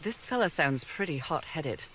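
A woman's voice: sits low, very animated, normal speed, a bit bright, a little hiss or hum. An actress playing a curious 1930s woman explorer in a video game, musing to herself in a thoughtful, wry way. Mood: wry, pondering. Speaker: game protagonist narrator. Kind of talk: scripted musing monologue. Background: background music.

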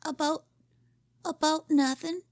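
Timid stammering little boy, very high voice; woman doing him. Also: steady tone, slow pace, a little mellow, no echo, studio quality. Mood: scared.

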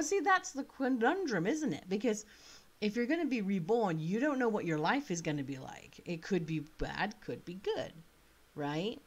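A woman in her thirties with a slight British accent, chatting casually and thinking aloud as she plays an online choice game. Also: medium pitch. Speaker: author playing a choice game. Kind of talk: casual commentary. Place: small room indoors.

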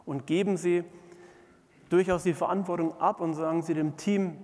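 A middle-aged man giving a conference keynote in a halting manner, with frequent pauses. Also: high-pitched, fairly even intonation, a little mellow. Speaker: keynote speaker, management consultant. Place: large indoor conference hall, on stage before seated audience. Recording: reverberant conference hall.

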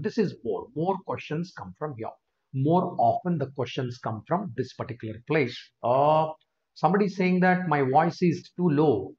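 A man's voice: medium pitch, a little mellow. A man in his thirties with an Indian English accent, teaching a live online class from a small room and chatting to viewers by name. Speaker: test prep teacher. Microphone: webcam corner of screen share. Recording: slightly dull lo-fi stream audio.

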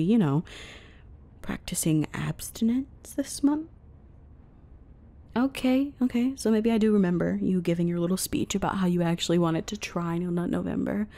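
A woman's voice: fairly low pitch, dark tone. A woman playing a coy, teasing, dominant wife in an intimate roleplay audio, talking playfully to the listener. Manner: coy, teasing, slow breathy murmurs mixed with playful chatter.

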